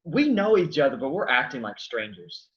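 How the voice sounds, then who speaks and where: An actor playing an awkward, sheepish Lazarus in a staged comedy reading, hesitant and dry. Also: male voice, medium pitch, big pitch swings, moderate pace, lo-fi sound, natural voice. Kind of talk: staged reading of a comic play.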